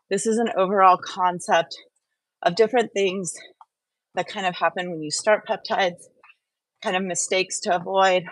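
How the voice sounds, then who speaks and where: A woman's voice: medium pitch, no echo, poor audio. A woman hosting a casual livestream while walking on a treadmill, talking frankly and directly to her viewers through earbuds. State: warming up from exercise. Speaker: wellness channel host. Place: indoors at home on a treadmill.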